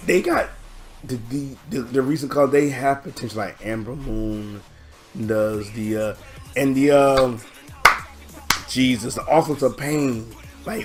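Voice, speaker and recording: A hoarse man hosting his own channel, rambling through a casual reaction video with many halting restarts. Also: mid-range voice. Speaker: channel host.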